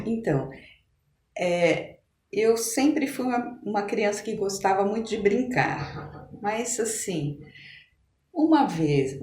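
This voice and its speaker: A mature Brazilian woman chatting as a podcast guest, telling stories in an animated but halting way that circles back on phrases. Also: medium pitch. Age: middle-aged or older. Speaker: podcast guest, doctor and amateur musician. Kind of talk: casual conversational storytelling in an interview. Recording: echoey room with some background noise.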